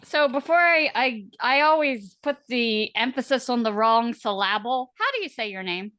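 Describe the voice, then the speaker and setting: A woman co-hosting a podcast, enthusiastic and quick to laugh. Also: a little high, lively intonation, a bit bright, no room sound. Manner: enthusiastic, giggly. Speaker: podcast co-host. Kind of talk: casual podcast chat.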